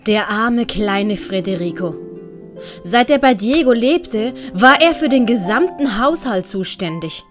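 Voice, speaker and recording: A woman with a Swiss German accent reads a storybook aloud in a gentle, measured way. Her voice sits a little high, and music plays clearly behind her. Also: lively intonation. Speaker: narrator and author. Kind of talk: storybook narration.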